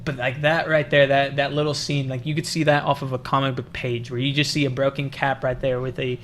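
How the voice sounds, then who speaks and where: A man chats casually about film as a podcast host, with a mid-pitched voice. He restarts phrases often and circles back on his words, over faint room noise. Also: flat delivery, brisk, fairly bright tone. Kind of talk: casual movie discussion.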